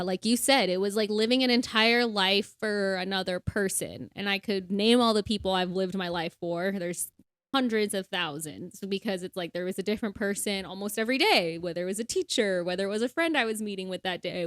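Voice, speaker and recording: A woman's voice: mid-range voice, a little fast, a bit bright, dry recording, clean studio sound. A young woman co-hosting a casual faith-based podcast, chatty and full of fillers, laughing easily. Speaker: podcast co-host.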